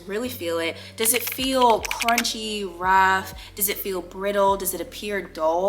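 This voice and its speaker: A woman's voice: lowish voice, pushed and bright. A young American woman hosting a casual hair care tutorial, chatty and emphatic, addressing viewers like girlfriends. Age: young adult. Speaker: video host, natural hair care creator. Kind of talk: casual vlog tutorial talk.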